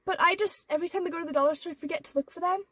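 Teen girl vlogger, very high voice, stuffed-up nose, rambling with fillers, heard from a distance. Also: very fast, fairly bright tone, no echo. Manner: rambling, many "like" and "or whatever" fillers. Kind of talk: casual vlog chat. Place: inside her car.

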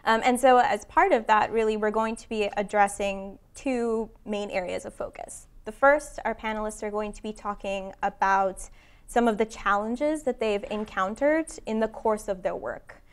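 Woman, panel moderator, medium pitch, semi-formal introduction, unscripted stage talk. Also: normal speed, smooth. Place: seated on stage in a conference room.

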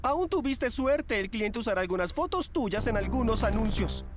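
A woman voicing a stern, sharp-tongued modeling agency boss in a cartoon, emphatic and sarcastic. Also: a little high, lively intonation, moderate pace, lightly acted. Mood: stern scolding. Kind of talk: animated cartoon dub. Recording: music behind the voice.

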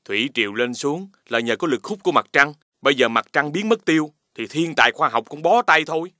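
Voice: medium pitch, animated, brisk, sharp tone, no room sound, clean studio sound. An actor voicing a brainy adult male cartoon dog, a stern scientist father who explains things didactically. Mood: resigned. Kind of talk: children's cartoon dubbing.

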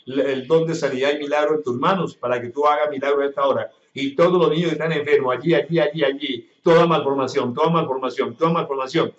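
A man's voice: on the high side, steady tone, quick pace, few pauses. A male pastor leading a fervent healing prayer in a church service broadcast on radio, repeating phrases in a chanting cadence and breaking into tongues. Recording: echoey dull radio broadcast.

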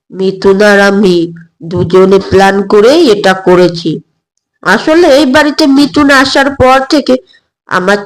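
A woman voicing an elderly, affectionate grandmother-in-law in an audio story, speaking in a measured, earnest way. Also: mid-range voice, natural voice, rough recording.

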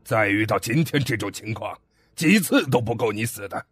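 A man playing a stern father in an ancient-era audio drama, speaking gravely and with authority. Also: fairly low pitch, animated, dry recording, clean studio sound. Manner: slow, grave, commanding. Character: stern father of a young heir, ancient China. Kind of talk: fan audio drama acting.

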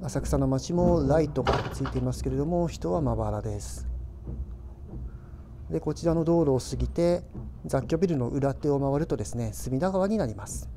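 Man narrating casually over clear train noise. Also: mid-range voice, moderate pace. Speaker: railway travel vlogger. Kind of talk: casual travel vlog narration.

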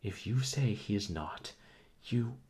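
A man's voice: fairly low pitch, expressive, slow, darkish tone, husky, voice pushed up, full character voice. A man reading an audiobook, voicing a passionate young woman who pleads and then breaks into sobs. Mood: indignant pleading.

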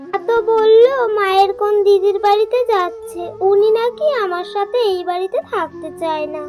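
Woman acting a very high-pitched, spiteful young daughter-in-law. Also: moderate pace. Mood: aggrieved, deceitful. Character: scheming, spiteful young daughter-in-law, lying to her husband. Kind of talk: cartoon folk-tale voice acting. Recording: music behind the voice.

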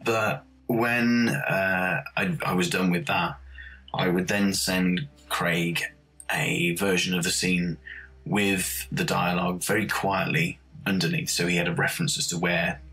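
A man with a low voice speaks casually, reminiscing as a composer in an interview. Music plays softly behind him. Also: steady tone, measured pace, low-quality recording.

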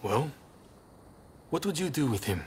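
A man playing a composed, courteous young samurai rebel leader in a video game, speaking in a polite, measured and earnest way. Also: mid-range voice, very animated, slight background noise. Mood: grave, thoughtful. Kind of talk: video game cutscene acting.

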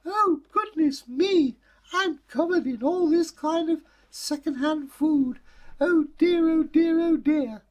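A whiny little storybook girl, acted out by a man in a very high falsetto. She is dramatic and easily upset, with a loud, shrill delivery. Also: slow. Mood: dismayed. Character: silly, distraught little girl in muddy dress.

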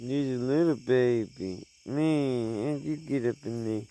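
Slow, gravelly man talking to chickens. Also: mid-range voice, dark tone, poor audio. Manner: laid-back, talks to his chickens in slang. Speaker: homesteader vlogger. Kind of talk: casual talk to chickens while filming. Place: backyard homestead outdoors at night. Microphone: handheld phone camera. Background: crickets and night insects.

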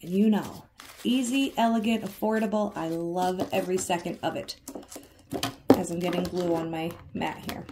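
A woman with a hoarse, froggy voice narrates a relaxed DIY project from her living room. She is chatty and quick to poke fun at herself. Her tone has a gravelly edge, and a faint hiss sits under the recording. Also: lowish voice. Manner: chatty, self-deprecating, giggly. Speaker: craft vlogger. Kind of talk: casual DIY tutorial narration. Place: living room, working at a coffee table.

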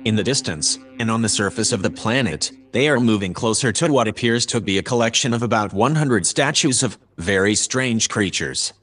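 A calm shipboard observer played by a man narrates a sci-fi audio drama. His voice sits on the low side. He describes everything in measured, matter-of-fact detail. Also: slightly rough. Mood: calm, factual. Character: male narrating observer calmly reporting aboard a spaceship.

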